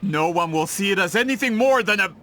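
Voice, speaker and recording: An actor playing a scheming, vain merchant in a video game, with a theatrical, pompous, indignant manner. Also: male voice, high voice, expressive, brisk, pushed and bright. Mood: bitter. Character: scheming, vain male merchant with grand business ambitions.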